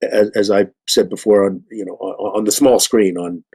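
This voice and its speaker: An older man speaking as a guest in a casual discussion, in an earnest, halting way with frequent stammered repeats. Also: fairly low pitch, fairly even intonation, creaky, darkish tone, slightly rough. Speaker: actor guest.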